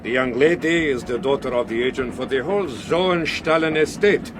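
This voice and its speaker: An actor playing a polite, assured doctor, courteous and precise, in a radio drama. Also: male voice, medium pitch, moderate pace, natural voice. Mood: smooth, assured. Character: polite, formal doctor travelling with a patient. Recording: clear train noise behind.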